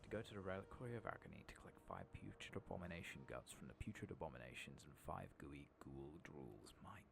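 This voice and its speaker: Deep, gravelly soft-spoken man. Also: big pitch swings, fast, background noise. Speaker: host of a gaming ASMR playthrough. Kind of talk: soft-spoken ASMR game commentary with some whispering. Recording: keyboard typing and mouse clicks behind.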